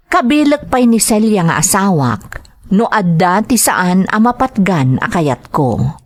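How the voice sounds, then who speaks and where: A man's voice: sits high, no room sound, exaggerated voice. A man playing a remorseful husband who narrates his own story in a confessional way in an audio drama. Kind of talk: first-person dramatized narration.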